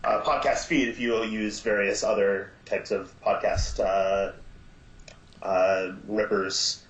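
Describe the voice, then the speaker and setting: A man chatting as a podcast guest. He talks haltingly, with many fillers, and livens up when he retells a story. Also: lowish voice, fairly even intonation. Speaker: guest, audio drama producer. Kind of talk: casual podcast chat. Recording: roomy, dull sound.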